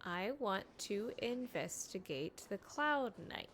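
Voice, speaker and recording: A woman's voice: mid-range voice, expressive, slow, a bit bright, slight background noise. A woman giving casual, playful livestream commentary as she plays a game, reacting as she goes. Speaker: game streamer.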